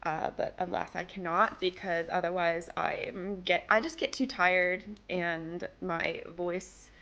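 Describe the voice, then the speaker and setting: A woman's voice: lowish voice, fairly even intonation, measured pace, gravelly, fairly bright tone, lo-fi sound. A young woman with a slightly worn-out voice, chatting casually and rambling a little as she narrates her build. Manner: chatty, rambling, self-deprecating. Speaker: game build creator. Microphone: desk microphone.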